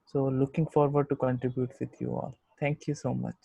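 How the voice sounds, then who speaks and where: A man's voice: mid-range voice, little pitch movement, brisk, vocal fry, dark tone, slightly rough, no room sound, low-quality recording. A man working as a developer advocate giving a confident self-introduction on a video call.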